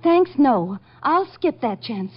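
Young woman on the run, high voice, defiant melodrama, dull vintage radio sound. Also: very animated, takes it slowly, breathy edge, full character voice. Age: young adult. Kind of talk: 1950 radio melodrama acting. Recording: muffled 1950 radio recording.

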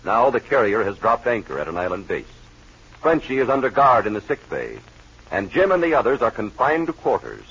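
A man's voice: medium pitch, big pitch swings, a little fast, fairly bright tone. A man narrating and announcing in dramatic, emphatic 1940s radio style. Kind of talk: 1940s radio scripted narration and sponsor read. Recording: muffled, husky-sounding old radio recording.

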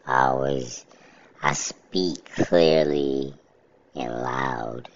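A man talking casually in a steady, plainspoken monologue, describing his own even, monotone way of speaking. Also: extremely low pitch, extremely slow, vocal fry. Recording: dull lo-fi recording.

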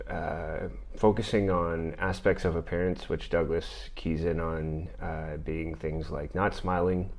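A middle-aged man with a deep voice, hosting a podcast and talking through an argument in a measured, thoughtful way. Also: a little mellow. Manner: measured, thoughtful delivery with occasional fillers. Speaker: podcast host. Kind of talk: reading and commenting on an argument.

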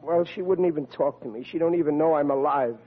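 An actor playing a hapless, whiny, excitable young policeman in an old radio comedy, blustering and stammering. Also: on the high side, fast talker, husky. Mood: miserable. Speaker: comic lead actor. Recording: muffled vintage radio, humming, audience behind.

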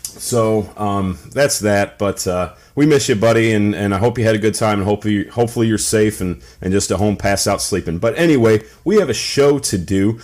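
A man's voice: on the low side, a little fast, darkish tone. A man co-hosting a podcast, chatting casually in a rambling, joking way. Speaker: podcast co-host. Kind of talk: casual chat.

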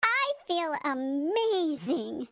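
A woman playing an excitable, wide-eyed young child in a spoof commercial for a children's audio show. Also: high-pitched, very slow, no echo, exaggerated voice. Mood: excited. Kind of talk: children's audio comedy acting. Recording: music behind the voice.

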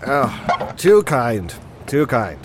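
An actor playing a courteous, bluff old British army sergeant major in an audio drama, given to clipped pleasantries. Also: male voice, medium pitch, very animated, very slow, a little hiss or hum. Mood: bluff, genial.

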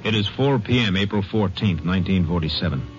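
A man's voice: low-pitched, brisk. A man narrating a 1950s radio crime drama in a dramatic, scripted style. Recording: muffled vintage radio recording. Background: music.

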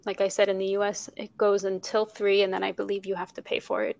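Gravelly, flat-voiced woman host. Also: mid-range voice, fast, highs cut off. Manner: supportive, affirming. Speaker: podcast host. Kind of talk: conversational interview.

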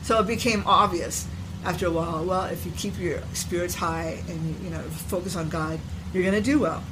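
A woman's voice: fairly low pitch, a little fast. Older woman, reflective, searching for words. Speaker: stepmother telling her past. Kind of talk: casual conversational interview. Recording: noticeable background noise.

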